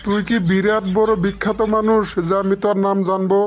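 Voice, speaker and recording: A man's voice: sits high, monotone, a little fast, background noise, lightly acted. A man voicing an impatient, boastful schoolteacher who scolds and quizzes pupils in a comedy cartoon. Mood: exasperated scolding. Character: exasperated bossy male schoolteacher quizzing pupils.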